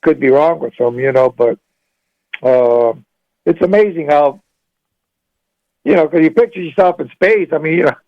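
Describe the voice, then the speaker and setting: A man phones in to a talk show over a dull, lo-fi line. His voice is a little high and the sound is dry. He rambles casually, hesitating and doubling back. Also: normal speed, pauses often, dry recording. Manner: rambling, hesitant, repeating himself. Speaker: phone-in caller. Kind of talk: casual chat. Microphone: phone call. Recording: dull lo-fi radio call.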